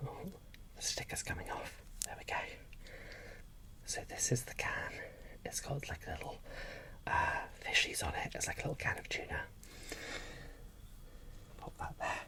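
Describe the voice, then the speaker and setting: A British ASMR creator giving a casual, chatty unboxing commentary in a soft whisper. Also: a little slow, long pauses.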